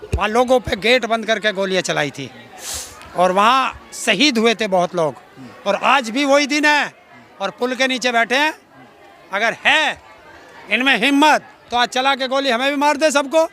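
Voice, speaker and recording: A man's voice: very high-pitched, pushed and bright. A middle-aged man, speaking combatively and sarcastically in an outspoken street interview, full of rhetorical challenges. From Uttar Pradesh, India. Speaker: farmer activist being interviewed. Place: outdoors in a public place. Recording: outdoors with faint crowd behind.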